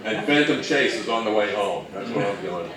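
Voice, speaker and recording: Low-voiced man presenting in an echoey room. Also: animated, normal speed, crowd noise. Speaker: presenter at an old-time radio convention talk. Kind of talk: informal live presentation to an audience. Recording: roomy, distant-miked live talk.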